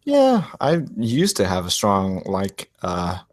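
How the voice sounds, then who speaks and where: A young man from Texas with a slight Texas twang talks in an easygoing, rambling way, full of fillers and quick laughs. His voice sits on the low side. The sound is dry and close, with no room around it. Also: wildly swooping pitch, no room sound. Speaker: motovlog live stream host. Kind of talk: casual live stream chat.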